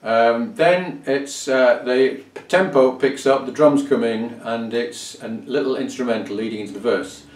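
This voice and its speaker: An older man giving an informal instructional music lesson as a fiddle teacher, speaking with hesitant pauses mid-phrase. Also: mid-range voice. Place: small indoor music room at home. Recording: roomy, distant microphone sound.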